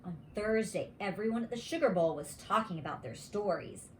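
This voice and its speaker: A woman reading a bedtime story aloud to young children in an expressive, deliberate teacher's manner. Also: mid-range voice, slight background noise.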